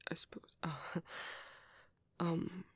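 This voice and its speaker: A man playing a gentle, shy British vampire priest in a soft, intimate ASMR roleplay, with breathy whispering and a hesitant, tender manner. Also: takes it slowly, no room sound. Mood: flustered, bashful. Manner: hesitant, flustered, tender, formal wording.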